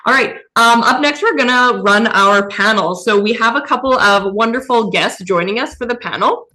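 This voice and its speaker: A woman's voice: fairly high pitch, normal speed, pushed and bright, rough recording. Upbeat woman hosting a youth livestream. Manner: upbeat, chatty. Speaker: host. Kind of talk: live online youth event hosting.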